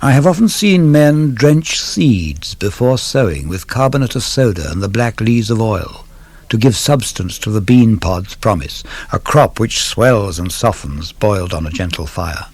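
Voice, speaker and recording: A man giving a measured, deliberate recitation of verse, like a scripted dramatic narrator instructing his listeners. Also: on the low side, animated, a little mellow, slightly rough. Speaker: poetry reader.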